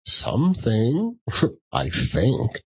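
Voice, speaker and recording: A man playing a solemn, slow-spoken ghostly spirit. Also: medium pitch, huge pitch swings, husky, exaggerated voice. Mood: probing. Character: ghostly spirit, probing and urgent. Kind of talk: dramatic audiobook reading of a classic story.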